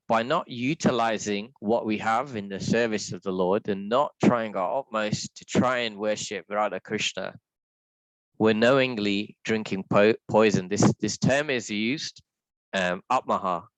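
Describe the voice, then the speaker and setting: A man with a deep voice leading a reflective scripture reading, talking in a thoughtful, halting way with restarts. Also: dry recording, low-quality recording. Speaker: scripture class leader.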